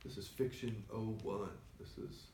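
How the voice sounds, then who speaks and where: A soft-spoken man co-hosting a casual chat in a record store, talking in a low-key, rambling way. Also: unhurried, creaky, a little hiss or hum. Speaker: record store owner co-host. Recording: echoey store, mic at a distance.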